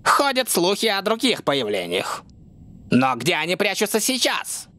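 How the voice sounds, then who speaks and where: Greedy middle-aged cartoon businessman, flustered, groaning, sharp highish voice, drawn-out delivery. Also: wildly swooping pitch, heavily acted. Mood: blustering. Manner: exclaiming, groaning, blustering. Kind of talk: dubbed cartoon voice acting.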